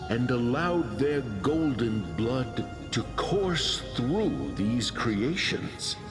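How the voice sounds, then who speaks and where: An actor playing a solemn narrator of an ancient myth in a video game, grave, measured and dramatic, in the manner of epic storytelling. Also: male voice, mid-range voice, expressive. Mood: solemn, reverent. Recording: music under the voice.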